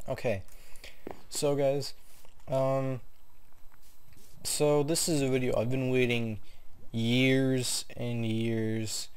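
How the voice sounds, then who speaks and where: A man's voice: medium pitch, smooth. A young man with a sore throat from a cold, casually narrating a tour of his game world and rambling with many ums. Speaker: gaming video host. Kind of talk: casual gameplay commentary.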